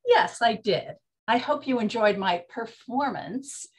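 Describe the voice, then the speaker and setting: A woman's voice: a little high, lively intonation, measured pace. A woman playing a genteel, unflappable 1920s tea shop proprietress in an amateur stage play, polite and formal. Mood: polite, composed.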